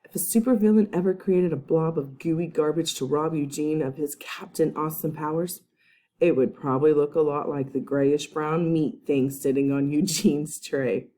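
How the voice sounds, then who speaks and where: A woman's voice: deep voice, steady tone, normal speed, mellow. A woman teacher reading a children's chapter book aloud, with chatty asides to young listeners. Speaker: first grade teacher.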